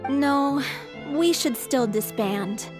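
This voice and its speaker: A young stage performer from a video game, speaks in a high-pitched voice. She is frail, stubborn and thankful. Game music plays clearly behind her. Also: lively intonation, takes it slowly. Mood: gentle, wistful. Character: sickly, cheerful young woman stage performer, stubborn and grateful.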